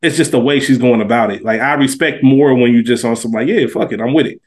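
Slangy American man, mid-pitched. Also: fast, a little mellow. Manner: slangy, profane, opinionated. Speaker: podcast panelist. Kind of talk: casual TV show discussion.

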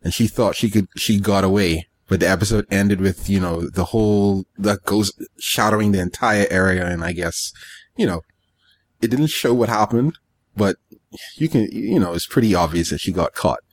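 Low-voiced man in casual, halting chat. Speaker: podcast co-host. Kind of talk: casual chat, recounting episodes.

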